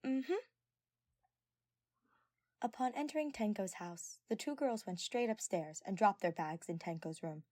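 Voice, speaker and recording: A woman's voice: medium pitch, animated, moderate pace, hardly any pauses, darkish tone, no echo. A woman reading scripted story narration as the narrator of a fan fiction audio recording. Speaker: podfic narrator.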